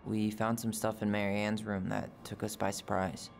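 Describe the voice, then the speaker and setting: A man's voice: fairly low pitch, flat delivery, moderate pace, creaky. Young man, wry, guarded, persistent, natural game dialogue, music behind. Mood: earnest. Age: young adult. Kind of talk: naturalistic video game acting.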